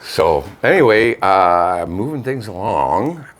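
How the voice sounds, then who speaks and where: Low-voiced, laid-back Canadian man. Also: sing-song, slow, a bit bright. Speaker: co-host of a local online radio vlog. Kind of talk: casual chat with local news reading. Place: home studio. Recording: noisy home studio with background ambience.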